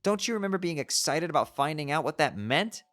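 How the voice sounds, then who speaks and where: A performer voicing a fierce teenage girl who scolds and yells in exasperation. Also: medium pitch, lively intonation, a little fast, natural voice. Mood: indignant.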